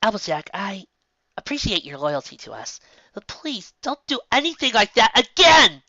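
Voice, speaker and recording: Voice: medium pitch, very animated, moderate pace, sharp tone, no echo, lo-fi sound, voice pushed up, exaggerated voice. A reader voicing an earnest, studious female unicorn pony in an audiobook reading, with an urgent, pleading manner.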